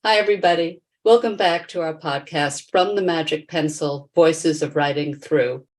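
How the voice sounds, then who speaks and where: A woman's voice: sits low, normal speed. A woman hosting a podcast, introducing and questioning in a conversational interview style. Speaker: podcast host, nonprofit founder.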